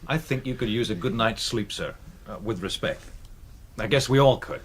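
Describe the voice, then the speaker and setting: A man playing a calm, tactful house guest in a radio drama. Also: fairly low pitch, moderate pace, slightly rough.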